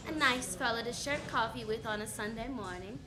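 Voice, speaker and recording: High-voiced wisecracking lady detective acted by a woman. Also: brisk, music in the background, close to an everyday voice. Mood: cool, sardonic. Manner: sardonic, snappy, quipping hard-boiled delivery. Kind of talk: old-time radio detective acting.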